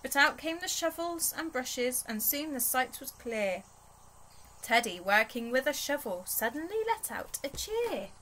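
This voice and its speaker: A young woman reading a rhyming picture book aloud to small children in an expressive storytelling manner. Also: sits high, measured pace, slight background noise. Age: young adult. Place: small bedroom, indoors.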